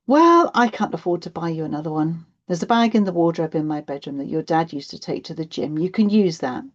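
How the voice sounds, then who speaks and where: A woman's voice: low-pitched, lively intonation, a little fast, lo-fi sound. A woman voicing a weary, hard-up single mum in a children's audiobook, worried but firm, with a blunt, matter-of-fact manner. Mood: resigned. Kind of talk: acted children's audiobook dialogue.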